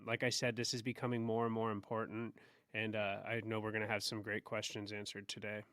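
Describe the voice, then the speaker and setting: Guy opening the podcast, earnest, smooth clear voice, dry sound. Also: on the low side, completely flat delivery, a little fast, a bit bright, no echo. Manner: earnest, urging listeners. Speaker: podcast co-host. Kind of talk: podcast intro remarks.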